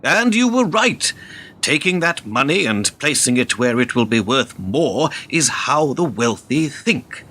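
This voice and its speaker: An actor playing a calculating, persuasive businessman in a radio drama, speaking in a measured way. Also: medium pitch, big pitch swings, bright, forceful tone, heavily acted. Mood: confident, calculating. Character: calculating, persuasive businessman handing over his company.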